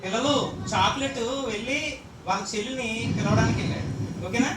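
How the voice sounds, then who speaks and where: High-voiced woman hosting a children's show. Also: fairly quick. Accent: Telugu Indian. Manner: questioning, repeats lines to rally children. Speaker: host of a children's Bible school puppet show. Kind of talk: live interactive children's presenting. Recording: echoey hall, distant mic, crowd and noise. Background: crowd of children.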